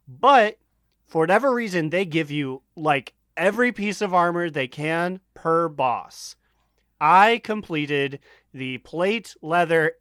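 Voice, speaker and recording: A man's voice: a little high, fairly bright tone, smooth, no echo, clean studio sound. A man co-hosting a casual gaming podcast, wryly griping with the odd swear word. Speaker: podcast co-host. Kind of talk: casual chat about online gaming.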